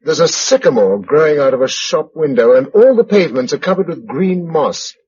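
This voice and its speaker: A man's voice: fairly high pitch, lively intonation, a little fast, darkish tone, breathy edge. An actor with an English accent playing a practical, watchful male survivor in a vintage radio drama. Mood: somber. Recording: dull, noisy old radio recording.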